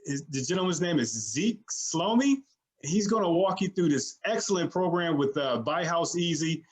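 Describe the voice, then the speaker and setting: A man's voice: on the high side, expressive, normal speed. A man hosting a real estate show, introducing a guest casually, with loose phrasing and repeated words.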